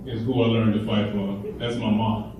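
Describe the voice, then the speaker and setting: A man's voice: lowish voice, little pitch movement, moderate pace, dull audio. Man telling stories in an echoey hall. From Chicago. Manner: storytelling, humorous, conversational. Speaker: keynote speaker. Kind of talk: motivational speech. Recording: echoey hall, mic far off, faint hiss.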